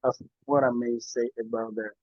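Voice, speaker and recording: Congolese activist, man, husky and halting, video-call sound. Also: mid-range voice, level tone, no echo. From Eastern Congo. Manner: halting, searching for words in English. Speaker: activist and party official. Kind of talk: self-introduction in a video meeting. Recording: dull, dry video-call audio.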